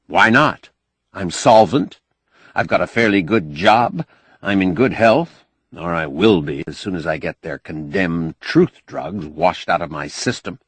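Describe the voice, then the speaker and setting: A man's voice: low-pitched, lively intonation, measured pace, breathy edge, no room sound, full character voice. A man playing an earnest, insistent, pleading bachelor in love in an audiobook. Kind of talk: audiobook character dialogue.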